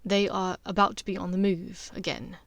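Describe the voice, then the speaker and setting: A woman's voice: medium pitch, little pitch movement, normal speed, vocal fry, dry recording, lightly acted. A woman voicing a nervous young wizard man in an audiobook, speaking in a hesitant, wry, understated way. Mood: anxious. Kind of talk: dramatized audiobook character dialogue.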